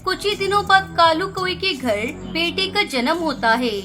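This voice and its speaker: A woman's voice: extremely high pitch, expressive, moderate pace, bright, forceful tone. A woman narrating a children's cartoon story in plain storytelling style. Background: background music.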